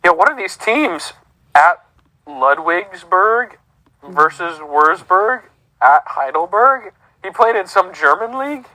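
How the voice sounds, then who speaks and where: A man's voice: sits high, expressive, slow, pushed and bright, low-quality recording. A man, co-hosting a casual sports podcast in an easygoing way, reading stats aloud. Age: 30s. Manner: easygoing, reads out lists. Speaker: podcast co-host.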